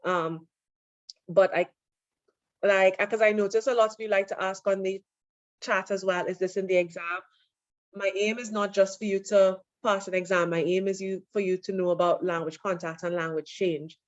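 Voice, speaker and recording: A woman lecturer giving an online class, relaxed and full of fillers as she answers questions. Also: medium pitch, normal speed, dry recording. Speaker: university lecturer. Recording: dull lo-fi online audio.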